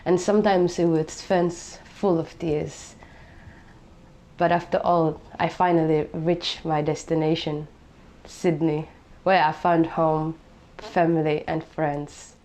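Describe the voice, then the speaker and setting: Low-voiced young Somali woman. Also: little pitch movement, slow pace, darkish tone. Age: young adult. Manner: reflective, many fillers like "you know". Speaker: interviewee, refugee. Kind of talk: personal storytelling interview.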